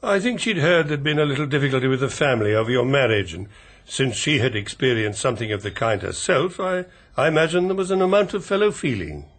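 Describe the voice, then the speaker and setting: An English actor in a British radio drama playing a courteous older family solicitor with a formal, politely understated manner. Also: male voice, mid-range voice, expressive, fast, a bit bright. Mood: polite, measured. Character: courteous, discreet older male family solicitor, dryly tactful.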